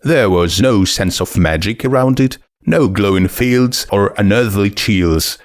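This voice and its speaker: A man's voice: fairly low pitch, expressive, measured pace, dry recording, studio quality. A man reading a scripted story aloud as narrator. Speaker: narrator of a fan fiction reading.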